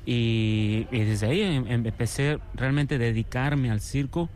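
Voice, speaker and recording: A man with a Venezuelan accent answering interview questions casually, relaxed and a little hesitant, often repeating words. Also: mid-range voice, measured pace, a little hiss or hum. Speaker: circus artist, interview guest.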